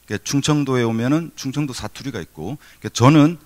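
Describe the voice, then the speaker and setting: A man's voice: mid-range voice, fast, a little mellow. A middle-aged man from Korea's Gyeongsang region giving a keynote lecture at a seminar, conversational, with many fillers and restarts. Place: conference hall.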